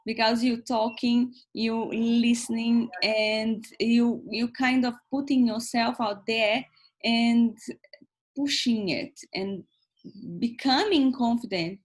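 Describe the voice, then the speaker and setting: A woman's voice: fairly high pitch, steady tone, slow, rough recording. A woman in her thirties, not a native English speaker, co-hosting a casual chat and speaking hesitantly with many fillers and restarts. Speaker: co-host.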